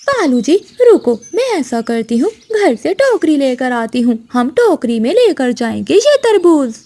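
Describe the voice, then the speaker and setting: A woman plays a scheming female crow from a children's cartoon. Her voice is very high and swings in pitch, with a slow, emphatic delivery as she bosses and persuades. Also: sing-song, warm tone, heavily acted. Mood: eager. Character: sly, scheming female crow, bossy and deceitful. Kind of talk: children's cartoon story acting.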